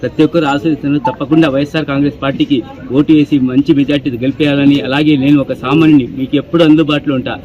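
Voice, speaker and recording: A man's voice: on the high side, steady tone, racing. A man with an Andhra Telugu accent gives an earnest campaign statement as a political candidate, outdoors on a town street in a muffled, noisy recording.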